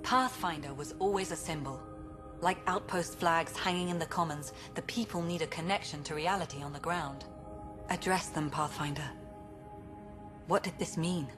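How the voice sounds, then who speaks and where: An actress performing a woman director of a space colony in a game cutscene. Her voice sits a little low, with clipped, formal delivery and a dry, exacting temper. Music is clearly heard behind her. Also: normal speed, close to an everyday voice. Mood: formal, commanding. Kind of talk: video game cutscene acting.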